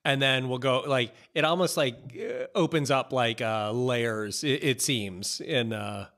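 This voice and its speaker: A middle-aged man talks in a medium-pitched voice. He is a casual podcast host who feels his way through sentences haltingly and informally. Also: a bit bright. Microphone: boom-arm podcast microphone, headphones.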